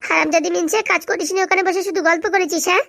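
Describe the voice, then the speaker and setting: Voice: very high voice, fairly even intonation, rapid-fire, gravelly, bright, forceful tone, dry recording, studio quality, heavily acted. A performer voicing bossy, hot-tempered cartoon villagers who scold and quarrel in colloquial speech. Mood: quarrelsome. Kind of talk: comic cartoon dialogue.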